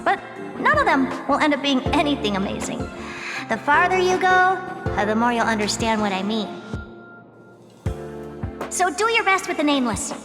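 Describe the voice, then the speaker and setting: A woman's voice: sits high, sing-song, normal speed, pauses often, over music. An actress playing a confident, worldly genius woman, breezy and teasing, in a video game cutscene with long reverb. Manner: breezy, self-assured, playful.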